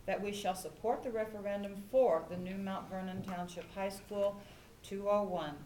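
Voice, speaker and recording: An American woman presides formally over a public meeting in an official, civic style. Her medium-pitched voice rings in an echoey chamber, with faint noise underneath. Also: a little mellow. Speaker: mayor chairing a city council meeting. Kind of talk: formal read-aloud resolution at a public meeting. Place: indoor council chamber. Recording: echoey room with background noise.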